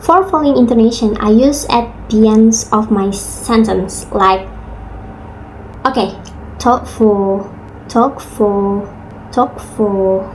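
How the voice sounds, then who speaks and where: Slow, hesitant woman. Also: mid-range voice, a little hiss or hum, low-quality recording. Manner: slow, halting, with many uh fillers. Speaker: student. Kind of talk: class presentation on pronunciation.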